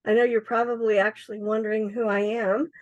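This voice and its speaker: A middle-aged woman with a hoarse, froggy voice, chatting casually as she demonstrates craft supplies on a livestream, with many ums and uhs. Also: fairly high pitch, level tone, a little fast, muffled recording. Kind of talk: casual live product demonstration.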